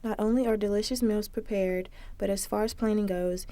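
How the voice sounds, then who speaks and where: Warm-toned woman reading news narration. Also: mid-range voice, fairly even intonation, a little fast. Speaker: student reporter. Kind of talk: scripted news package narration.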